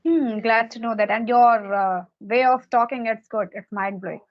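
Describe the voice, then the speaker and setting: A woman with an Indian English accent, Hindi her first language, interviewing as an English teacher and pausing often with fillers. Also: on the high side, muffled recording. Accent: Indian English, Hindi speaker. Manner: hesitant, many filler sounds, repeats points. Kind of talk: casual conversational interview.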